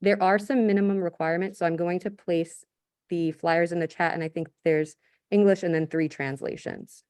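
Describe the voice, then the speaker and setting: A woman's voice: lowish voice, steady tone, moderate pace, darkish tone, smooth, no echo. A woman giving an upbeat report as a school liaison over a video call. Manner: upbeat and enthusiastic. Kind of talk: meeting report.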